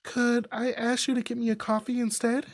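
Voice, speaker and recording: A woman's voice: fairly high pitch, flat delivery, moderate pace, dry recording, voice pushed up. A woman voicing a polite, worried and dutiful daughter in a visual novel. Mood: surprised, polite.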